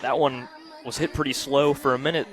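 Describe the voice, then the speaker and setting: A man of average pitch provides analysis as the color commentator on a live softball broadcast. He talks conversationally, explaining plays and sometimes doubling back on a word. Steady, clearly audible outdoor broadcast sound runs behind his voice. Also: brisk, over music.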